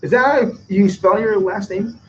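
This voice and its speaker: A man interviewing for a radio show, easygoing and quick to laugh, with a drawn-out delivery. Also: sits high, faint music behind. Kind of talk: casual interview chat. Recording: slightly dull lo-fi recording.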